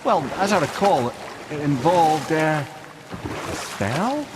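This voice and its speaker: An actor playing a wry, courtly old talking head and wise advisor in a video game. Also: male voice, fairly high pitch, big pitch swings, takes it slowly, background noise. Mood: affable, muddled. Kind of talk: cinematic video game acting. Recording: music behind the voice.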